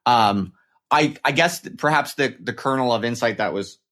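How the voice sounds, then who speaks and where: A man hosting an intellectual interview, speaking in a deliberate, hesitant way and repeating phrases as he works out his point. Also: medium pitch, lively intonation, a bit bright. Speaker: podcast host, interviewer. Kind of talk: intellectual discussion, unscripted.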